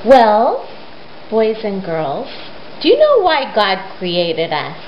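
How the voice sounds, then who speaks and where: A woman's voice: medium pitch, huge pitch swings, slow. A woman retelling a Bible story to young children, speaking simply and emphatically and putting questions to them. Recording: roomy, dull lo-fi sound with hiss.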